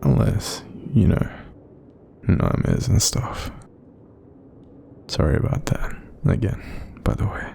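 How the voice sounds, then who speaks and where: Casting: Australian male actor as a gentle bedside demon, mellow and soft-spoken with lively intonation, self-deprecating and reassuring, for intimate roleplay audio. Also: slow, pauses often, a little hiss or hum, exaggerated voice. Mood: sheepish. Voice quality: very deep, breathy, creaky. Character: kindly, apologetic male sleep paralysis demon comforting someone.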